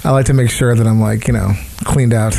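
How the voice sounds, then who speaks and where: A man's voice: medium pitch, fairly even intonation, a little fast, creaky, warm tone. A man co-hosting a podcast, joking and rambling through casual asides. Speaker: podcast co-host.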